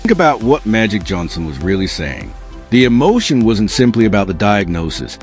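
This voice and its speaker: A man's voice: lowish voice, big pitch swings, normal speed, faint music behind. A man reading scripted documentary narration in an earnest, dramatic storytelling manner.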